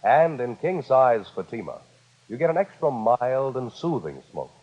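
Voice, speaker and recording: A husky American man announces a vintage 1950s radio commercial with lively, swinging intonation. His medium-pitched voice has long reverb and a dull old-broadcast sound. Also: measured pace. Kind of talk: scripted 1950s radio advertisement.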